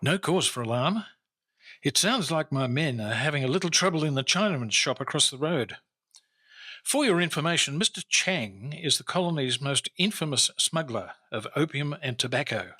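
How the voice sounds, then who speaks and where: An actor playing a boastful, famous Victorian-era detective inspector in an old-time radio drama, formal and theatrical in manner. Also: male voice, on the high side, lively intonation, moderate pace, pushed and bright, breathy edge, full character voice. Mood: self-assured, storytelling. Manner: theatrical, self-assured, formal.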